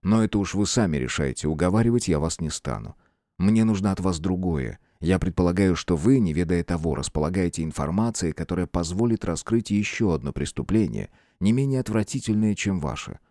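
A man reading a composed, stern male investigator in an audiobook, measured, firm and unsentimental. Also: sits low, expressive, mellow, dry recording, clean studio sound. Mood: cool, low. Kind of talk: dramatic audiobook character reading.

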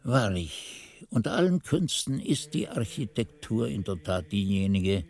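A man playing a devout, learned monk who recalls his novice days in measured, reverent radio play narration. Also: on the low side, big pitch swings, vocal fry, darkish tone, no echo, full character voice. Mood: reverent, descriptive. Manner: measured, reverent, ornate phrasing. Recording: music under the voice.

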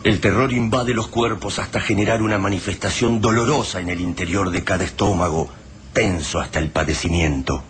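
A man narrating a radio play in a grave, measured voice. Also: sits low, fairly even intonation, a little hiss or hum, close to an everyday voice.

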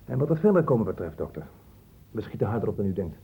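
An actor playing a gruff, hard-line police inspector in a radio drama, blunt and sarcastic, with emphatic delivery. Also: male voice, fairly low pitch, very animated, quick pace, mellow, exaggerated voice, rough recording. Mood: stern, scornful.

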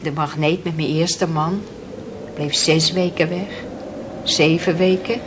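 A sorrowful fisherman's widow, low-voiced. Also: fairly even intonation, slow. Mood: anguished. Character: sorrowful twice-widowed fisherman's wife, mother, telling her losses. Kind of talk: radio drama acting. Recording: noisy old radio play recording.

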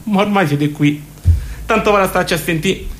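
A woman's voice: lowish voice, normal speed, faint music behind. A woman with a Roman accent delivering a colloquial, self-mocking comic monologue straight to the audience as presenter. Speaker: stage presenter.

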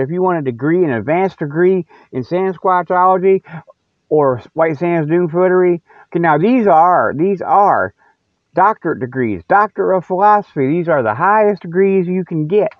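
A man's voice: fairly high pitch, expressive, normal speed. Guy on a thin tinny radio sound, dry no echo, rambling and joking in a down-home folksy way. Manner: folksy, colloquial, teasing, chuckling. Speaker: host of his own radio show.

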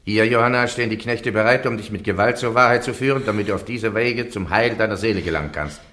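An actor playing a grave, formal tribunal judge in a radio drama, speaking in measured phrases. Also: male voice, lowish voice, fairly bright tone, natural voice. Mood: grave, official. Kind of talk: classic German radio drama acting. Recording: echoey, roomy radio drama recording.

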